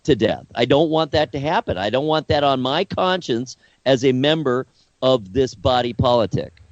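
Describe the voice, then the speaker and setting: A man co-hosting a talk podcast, speaking earnestly and haltingly, often repeating words as he works out his point. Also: mid-range voice, expressive, no room sound, dull audio. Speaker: podcast co-host. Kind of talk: casual discussion.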